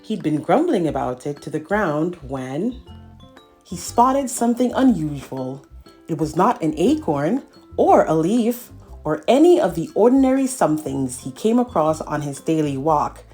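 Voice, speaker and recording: Animated woman reading a children's storybook. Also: sits low, a little slow, soft background music. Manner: animated, addressing child listeners. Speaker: storyteller reading a picture book. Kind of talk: expressive children's read-aloud with asides.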